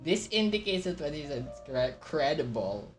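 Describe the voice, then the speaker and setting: A Filipino woman reacts to a game in a playful, teasing chatter. Her fairly low voice swings widely in pitch and breaks into exclamations, with faint music behind her. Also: very animated, moderate pace. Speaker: game streamer. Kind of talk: casual livestream reactions to a game, mixing languages. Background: game music and character voices.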